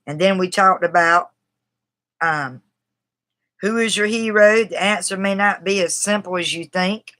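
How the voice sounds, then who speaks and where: A woman's voice: medium pitch, a little slow, sharp tone. A woman from the Southern US with a Southern accent, talking casually on a livestream about a writing book, rambling and greeting viewers by name. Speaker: writer discussing a book on a livestream. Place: outdoors.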